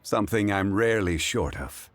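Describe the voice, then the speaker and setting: An actor playing a calm, learned gentleman in an anime video game, speaking in a measured, formal and modest way. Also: lowish voice, slight background noise. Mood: amused. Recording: background music over dialogue.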